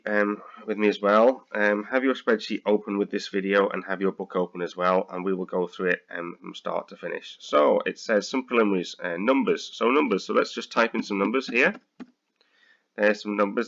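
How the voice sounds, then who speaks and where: A British man with a very low, gravelly voice that is a bit croaky today, talking students through a spreadsheet lesson. He hesitates often and restarts his sentences. The audio is dull and lo-fi, like a simple computer mic. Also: fairly bright tone. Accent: British English. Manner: hesitant, many ums and restarts. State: slightly croaky. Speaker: accounting tutor. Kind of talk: screen-recorded software tutorial.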